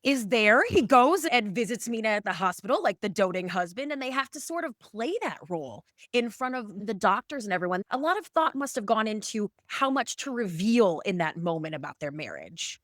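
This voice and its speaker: A woman hosting a casual podcast, asking questions in a chatty, informal and expressive way. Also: mid-range voice, a little fast, few pauses, no room sound, clean studio sound. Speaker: podcast host, interviewer.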